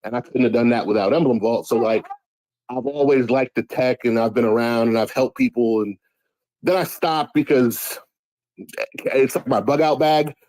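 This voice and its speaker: A man's voice: medium pitch, normal speed, a little mellow, no room sound, low-quality recording. A man from Guyana, a guest musician, telling stories in a sarcastic, profane, casual way. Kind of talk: casual chat on a live audio show.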